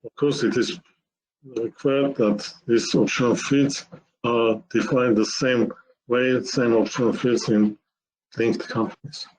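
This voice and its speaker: Male webinar presenter, very unhurried and halting, medium pitch, walking through software steps. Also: steady tone, rough recording. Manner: halting, step-by-step explaining in short phrases.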